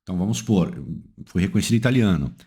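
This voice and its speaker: A man's voice: lively intonation, very fast, warm tone, breathy edge. A man with a Brazilian accent, explaining a legal decision as an analyst, emphatic and posing rhetorical questions he then answers. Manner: emphatic, swings pitch up and down for stress.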